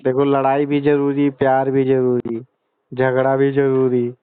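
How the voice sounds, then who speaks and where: A man's voice: mid-range voice, monotone, unhurried, smooth. A man arguing over a phone call, lecturing and scolding his partner. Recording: tinny phone line.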